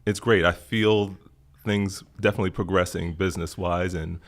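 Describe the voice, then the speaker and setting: A middle-aged man from Florida talks in a relaxed interview, his low voice gravelly with fry. He speaks earnestly and thoughtfully, repeating phrases to stress a point. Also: moderate pace. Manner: earnest, reflective, emphatic repetition. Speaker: podcast guest. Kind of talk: casual interview talk.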